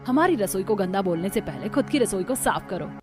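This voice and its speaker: A woman performing characters for an animated family story, her voice at a middle pitch, delivering lines with dramatic, scolding force. Soft background music runs under her. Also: a little fast. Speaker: voice actor for several female characters. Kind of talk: Hindi cartoon dubbing.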